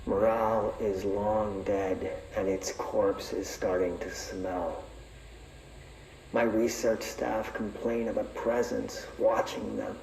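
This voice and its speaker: An actor playing a weary, wry researcher dictating an expedition log in a dry, deadpan, measured manner for a game. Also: male voice, on the low side, gravelly. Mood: grim, weary. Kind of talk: recorded expedition log narration. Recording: roomy echo, faint background noise.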